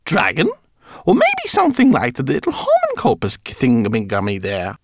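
A man reading an audiobook, voicing a brash, fast-talking female rat pilot in a shrill, squeaky voice. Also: huge pitch swings, no room sound, studio quality. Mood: chirpy. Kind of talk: audiobook character voice.

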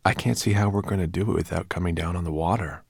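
A man's voice: sits low, fast, creaky, breathy edge, dry recording, clean studio sound, heavily acted. A man voicing an earnest, eager boy planning a journey.

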